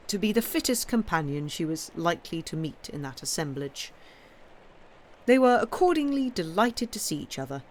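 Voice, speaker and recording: A woman reads literary fiction aloud in a medium-pitched voice. She tells the story in a measured, expressive way, placing clear emphasis on key phrases. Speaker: audiobook reader. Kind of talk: literary narration of a Victorian novel, reading aloud.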